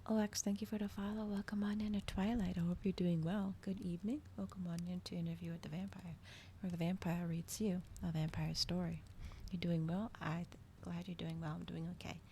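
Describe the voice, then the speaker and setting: A woman doing a soft, whispered ASMR reading as a streamer, slow and breathy, in a hushed manner. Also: on the low side, level tone, a little hiss or hum. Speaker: streamer host reading aloud.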